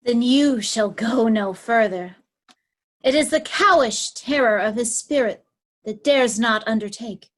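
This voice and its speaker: A woman playing a commanding, seductive and scornful noblewoman, deliberate and imperious, in a Shakespeare reading. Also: fairly high pitch. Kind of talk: remote readers' theatre Shakespeare performance.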